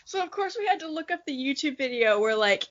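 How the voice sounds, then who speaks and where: A woman's voice: high voice, expressive, fast, a bit bright, dull audio. A woman co-hosting a podcast in casual chat, telling stories in a jokey way with some casual swearing. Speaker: podcast co-host.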